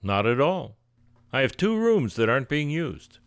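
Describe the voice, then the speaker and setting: An actor playing a courteous, hospitable country doctor in a 1940s-style radio drama, genial and formal. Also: male voice, mid-range voice, very animated, moderate pace, smooth, no room sound. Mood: courteous, welcoming.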